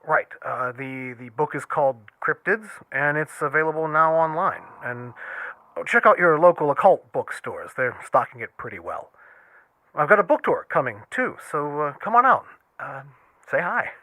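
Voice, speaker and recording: Thoughtful fringe-beliefs writer: forward, bright tone, reflective delivery, very dry studio sound. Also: male voice, fairly high pitch, very animated, normal speed, no echo, exaggerated voice, low-quality recording. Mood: earnest, modest. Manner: hesitant, earnest, reflective. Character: earnest paranormal author and show host, modest, thoughtful. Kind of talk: audio drama acting as radio interview guest.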